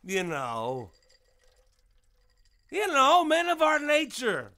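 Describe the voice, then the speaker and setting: An actor playing a chummy, prying drunk at a bar, with grandiloquent, rambling, slurred speech in an audio drama. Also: male voice, very high-pitched, huge pitch swings, very slow, bright, forceful tone, heavily acted. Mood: boisterous, needling. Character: tipsy middle-of-the-night barfly, chummy, prying, grandiloquent.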